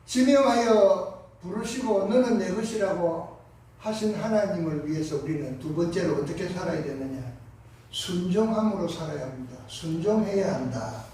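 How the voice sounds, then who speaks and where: A pastor with a Gyeongsang accent delivering a Sunday sermon, impassioned, moving between hushed and loud passages. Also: male voice, high voice, moderate pace. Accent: Gyeongsang Korean. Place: large church sanctuary. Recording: echoey sanctuary from far off.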